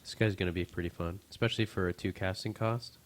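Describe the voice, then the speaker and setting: A man's voice: deep voice, steady tone, fast talker. A man with a lingering flu, sniffly, co-hosting a casual stream in an offhand, conversational way. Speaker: co-host opening card packs. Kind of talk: casual chat.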